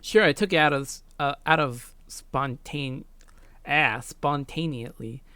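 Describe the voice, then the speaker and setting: A woman's voice: low voice, slow pace, sharp tone, clear, resonant tone, dry recording. A woman hosting a let's play, reading visual novel narration and adding casual gaming commentary, close on the mic.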